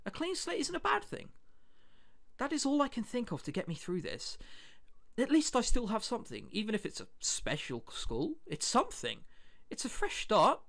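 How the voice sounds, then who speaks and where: Man voicing a bitter teenage boy, very animated. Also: very high-pitched, moderate pace, vocal fry, exaggerated voice. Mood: brooding, resigned. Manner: indignant, brooding, sardonic. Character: bitter, sarcastic teenage boy with a heart condition. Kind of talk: dramatic visual novel reading.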